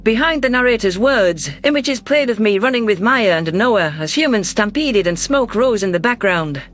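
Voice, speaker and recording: Audiobook narrator for science fiction: medium pitch, scripted first-person storytelling, light music bed. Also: lively intonation, moderate pace, a bit bright.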